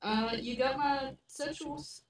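A woman's voice: sits high, slow pace, echoey room, recorded from a distance. A woman in her twenties from Georgia, chatting playfully with a stranger on a video call. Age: 20s. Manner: playful, casual, giggly. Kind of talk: casual video chat.

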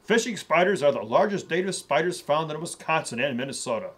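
A man playing a pompous, mustached television news anchor in a parody segment, with a husky voice. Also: on the high side, animated, brisk, fairly bright tone. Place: studio in front of a virtual news set.

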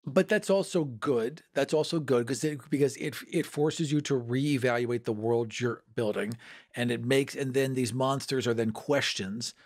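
A man's voice: mid-range voice, normal speed, a little mellow. A man in casual podcast chat, speaking emphatically and repeating phrases for effect. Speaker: podcast panelist.

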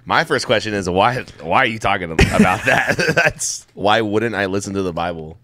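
A man's voice: on the low side, lively intonation, moderate pace, bright, forceful tone, no echo. A young man co-hosting a casual podcast, reacting with loose, slangy banter and laughter. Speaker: podcast co-host. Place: orange-walled podcast studio. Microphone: studio mic on boom arm.

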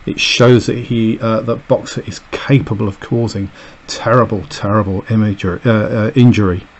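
Deep-voiced male teacher, dull recording. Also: steady tone, moderate pace, a little hiss or hum. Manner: explanatory, with frequent fillers and "okay". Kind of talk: recorded English lesson, reading and annotating an extract. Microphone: voice-over over a camera filming a page on a desk.

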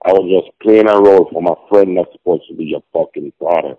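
A middle-aged man with a low voice delivers a hostile, insulting voicemail tirade. He swears heavily, and the playback is muffled and lo-fi. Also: a little fast. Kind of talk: angry voicemail rant.

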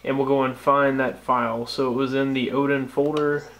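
A man's voice: medium pitch, little pitch movement, normal speed, fairly bright tone. An American man narrating a casual step-by-step tutorial as a tech host, explaining things informally.

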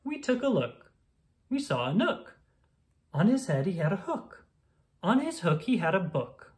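A woman reading a rhyming children's picture book aloud in an expressive, singsong storytelling style. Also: mid-range voice, unhurried.

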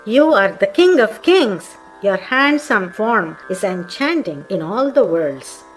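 A woman's voice: medium pitch, very animated, takes it slowly, poor audio. A woman giving a scripted devotional recitation of verses and their meanings. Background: faint Indian classical music.

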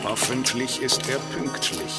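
Small-time crook acted by a man, tense and grumbling, lively intonation, reverberant hall. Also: mid-range voice, unhurried, natural voice. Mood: impatient. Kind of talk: radio play acting. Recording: echoey hall with loud music.

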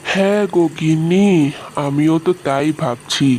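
A man playing a caring, middle-aged village fisherman father in a fairy-tale cartoon, speaking in a gentle, fatherly way. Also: fairly high pitch, measured pace, a little hiss or hum, close to an everyday voice. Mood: worried, tender.

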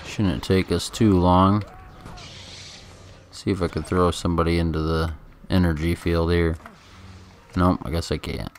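Very deep-voiced casual male gamer. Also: little pitch movement, unhurried, pauses often, slight background noise. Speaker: gameplay commentator. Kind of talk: casual video game commentary. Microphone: microphone held very close. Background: game music.

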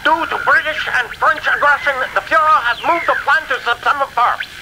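An actor playing a Nazi military messenger, barking out orders in a radio drama. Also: male voice, extremely high pitch, moderate pace, noisy background. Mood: commanding. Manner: barking, proclaiming.

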